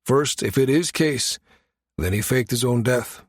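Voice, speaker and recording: Authoritative male security chief, read by a man, sci-fi audiobook, mid pitch, measured delivery, dry recording. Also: breathy edge, no room sound. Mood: firm, explanatory. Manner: measured, matter-of-fact, authoritative. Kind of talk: audiobook character dialogue.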